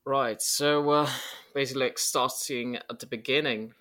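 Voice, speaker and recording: Bright-voiced man, rambling guest. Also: medium pitch, fairly even intonation, moderate pace. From Poland. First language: Polish. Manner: rambling, reflective, many filler likes. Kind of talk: casual interview talk.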